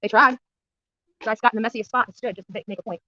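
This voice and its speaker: High, bright woman, blunt and indignant. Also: steady tone, fast, no echo, muffled recording. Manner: blunt, indignant, cursing, repeats phrases for emphasis. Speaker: livestreamer at a street protest. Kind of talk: angry live commentary and casual chat.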